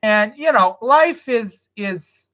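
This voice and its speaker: A woman's voice: a little high, animated, slow pace, fairly bright tone. Rambling, swearing woman on a lo-fi stream. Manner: rambling, swearing, loose after beers. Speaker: live streamer. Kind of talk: casual rambling chat. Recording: dull lo-fi stream audio.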